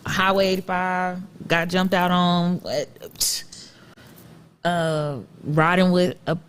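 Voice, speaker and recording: A young woman from Ohio co-hosting a podcast, talking candidly and casually, laughing and swearing freely. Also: fairly low pitch. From Akron, Ohio. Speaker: podcast co-host. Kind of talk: casual chat.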